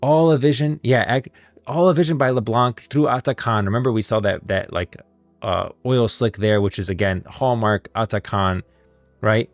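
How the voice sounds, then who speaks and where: A man's voice: medium pitch, normal speed, no room sound, soft background music. A man streaming casual live commentary on game lore, rambling and repeating phrases as he reasons aloud.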